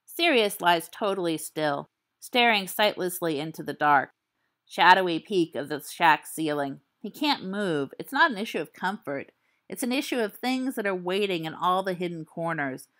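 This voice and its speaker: Woman reader, lowish voice, expressive suspenseful narration for a fan audiobook. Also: normal speed.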